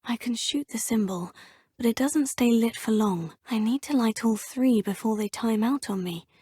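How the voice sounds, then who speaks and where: A woman's voice: fairly high pitch, moderate pace, mellow, breathy edge, no echo, studio quality. A woman voicing a young, polite student witch who thinks aloud in a formal way. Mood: musing. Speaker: player character. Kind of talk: video game voice lines.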